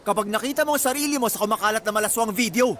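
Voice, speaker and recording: A man's voice: high-pitched, racing, fairly bright tone, a little hiss or hum. A man playing a jealous, possessive young ex-boyfriend in a radio drama, insistent and pressuring. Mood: menacing. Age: young adult. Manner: pleading, insistent. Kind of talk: Tagalog radio drama acting.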